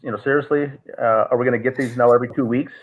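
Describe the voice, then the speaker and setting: A man's voice: mid-range voice, fairly even intonation, quick pace. A man talking as an interview guest on a call, telling a long story with many "uh" fillers and restarts. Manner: rambling, frequent "uh" fillers and restarts. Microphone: call audio. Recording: tinny phone-like call audio.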